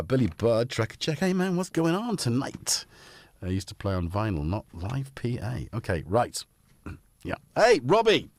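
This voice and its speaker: A man hosting a casual livestream from his home music studio, rambling and joking and often cutting himself off mid-sentence. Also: fairly low pitch. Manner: rambling, self-interrupting, jokey. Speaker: livestream host.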